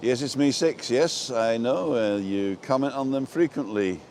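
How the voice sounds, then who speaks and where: A man's voice: medium pitch, measured pace, a little mellow. A man from England with a British accent, chatting casually and jokily as he hosts a rambling live walking tour and answers viewers. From born in England, lived in Wales and abroad. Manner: rambling, jokey. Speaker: travel vlogger and livestream host. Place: outdoors, walking along a seaside promenade. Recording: noisy outdoor street and seafront ambience.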